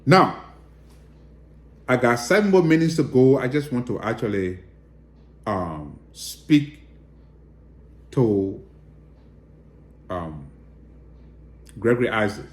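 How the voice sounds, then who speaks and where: A man's voice: mid-range voice, lively intonation, slow, pauses often, echoey room. A man with a Liberian accent giving direct, emphatic political commentary and appealing to viewers. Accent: Liberian English.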